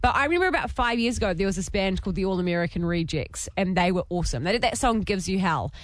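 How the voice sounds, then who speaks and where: A woman with a New Zealand accent telling a story in lively style as a radio host. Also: mid-range voice, quick pace, no echo. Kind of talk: casual radio chat.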